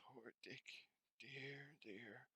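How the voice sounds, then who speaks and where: A man's voice: medium pitch, extremely slow, noisy background. A man voicing an old miser in a storybook, raising his voice and exclaiming wistfully. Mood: stirred. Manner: raised, wavering, exclaiming.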